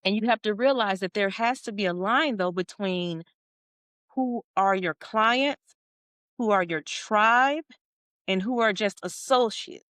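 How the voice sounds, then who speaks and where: A woman's voice: mid-range voice, fairly bright tone, dry recording, studio quality. A middle-aged woman, a leadership coach, speaking earnestly and emphatically as a guest on a podcast, often circling back and restating her points. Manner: earnest, emphatic, with repeated phrases. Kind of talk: podcast interview.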